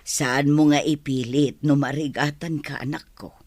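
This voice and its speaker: A woman playing a gentle, caring middle-aged village mother, earnest and motherly, in an audio drama. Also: deep voice, fairly even intonation, moderate pace, no echo. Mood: concerned. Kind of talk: radio drama dialogue.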